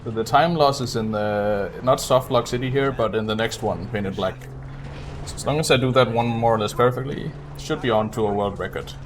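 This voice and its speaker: A man's voice: medium pitch, moderate pace, slight background noise. A man giving casual live gameplay commentary as a speedrunner, muttering and thinking aloud. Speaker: speedrunner streaming a video game.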